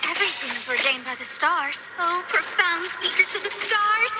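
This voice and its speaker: A woman's voice: very high voice, lively intonation, normal speed, very noisy. An actress voicing a playful, haughty young female fortune-teller in an anime-style fantasy game, with theatrical, sing-song delivery. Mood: grandly mystical. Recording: game audio under loud music. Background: loud game battle music.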